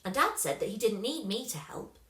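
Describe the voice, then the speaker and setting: A woman reading a children's story aloud with expressive, dramatic emphasis. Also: fairly high pitch, a little fast, reverberant. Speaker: storybook reader.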